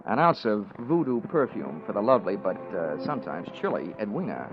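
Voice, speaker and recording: An American actor playing a tough, wry insurance investigator who narrates his case in clipped, deadpan 1940s radio detective style. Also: lowish voice, fairly quick. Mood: wry, dry. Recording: dull vintage radio recording with music.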